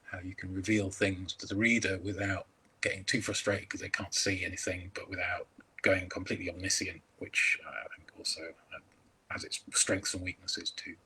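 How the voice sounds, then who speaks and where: A man's voice: sits low, flat delivery, normal speed, sharp tone, slightly rough, rough recording. A man chatting as a crime author over a video call, hesitant with many ums and restarts. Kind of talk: casual interview chat.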